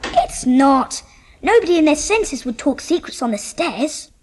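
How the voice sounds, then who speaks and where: A woman's voice: high voice, very animated, measured pace, a little mellow. A woman playing an eager, earnest Edwardian schoolboy in a dramatised audiobook. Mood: bold, bossy.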